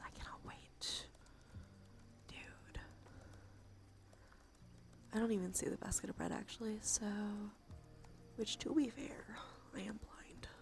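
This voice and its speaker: Whispering woman streamer with creaky fry. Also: mid-range voice. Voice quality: soft breathy whisper with fry. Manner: sweary, informal. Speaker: livestreamer and host. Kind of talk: whispered ASMR game stream, casual chat.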